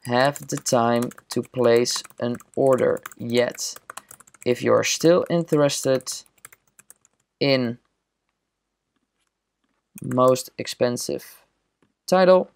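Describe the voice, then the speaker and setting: A man with a gravelly, fry-tinged voice narrates a screen-recorded software tutorial. He explains each click in a steady, step-by-step way, at an ordinary middle pitch.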